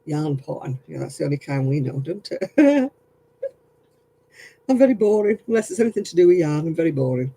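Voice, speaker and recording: A man's voice: a little high, big pitch swings, brisk, gravelly, pauses often, mellow, husky. A man chatting casually with viewers while crocheting on a livestream, self-mocking and laughing often. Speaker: craft livestream host.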